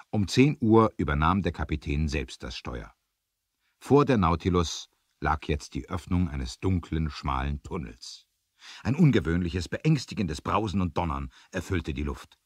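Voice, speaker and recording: A male narrator with a very low voice tells an adventure story as scripted radio play narration. His delivery is dramatic, and the sound is close and dry. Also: breathy edge, dry recording.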